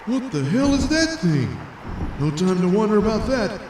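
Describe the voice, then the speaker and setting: A man voicing a frantic, excitable speedster superhero with a hurried manner in a comic audio drama. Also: high-pitched, reverberant, recorded from a distance, background noise, pitched above the performer's own voice. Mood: alarmed, determined. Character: frantic, high-voiced speedster superhero, male.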